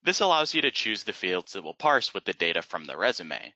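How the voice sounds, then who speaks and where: A man narrating an instructional webinar as a software trainer, explaining methodically step by step in a close, dry, slightly dull recording. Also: deep voice, big pitch swings, brisk, dry recording.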